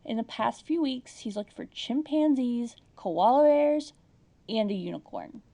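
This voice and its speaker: An actress plays a long-suffering housewife in a comic audio play. She is anxious and deferential, with a fairly high, dark-toned voice full of creak. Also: expressive, a little slow. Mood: worried. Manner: fretful, meek. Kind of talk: comedic audio drama acting.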